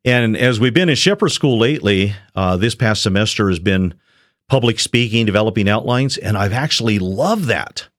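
A middle-aged man who is a retired police officer, giving an earnest personal testimony on a radio show in a measured, halting way. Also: on the low side, expressive, no echo, studio quality. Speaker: shepherd school student, retired police officer.

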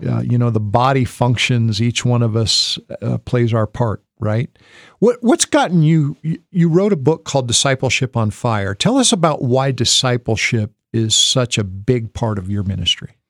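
A man with an average-pitched voice co-hosts a radio talk show, jumping in with eager, chatty questions and tripping over his words as he goes. The sound is close and dry. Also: animated, normal speed, no room sound. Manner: chatty, eager, stumbles and restarts. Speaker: radio co-host.

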